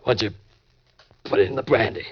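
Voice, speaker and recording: A man's voice: lowish voice, normal speed, husky. An American actor playing a desperate, tough-talking male impostor who narrates his crime in melodramatic 1940s radio style. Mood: groggy suspicion. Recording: dull, lo-fi old radio recording.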